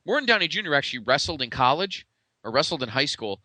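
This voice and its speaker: A man's voice: a little high, sing-song, fast talker, sharp tone, dry recording, low-quality recording. A man co-hosting a podcast in casual, conversational retrospective chat, with a blunt way of talking. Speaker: podcast co-host.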